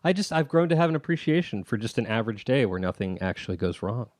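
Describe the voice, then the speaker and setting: A man's voice: mid-range voice, very animated, fast, darkish tone, dry recording. Casual male podcast host, dry close sound. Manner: rambling, self-deprecating, joking. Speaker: podcast host interviewing a comedian. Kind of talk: casual conversational interview.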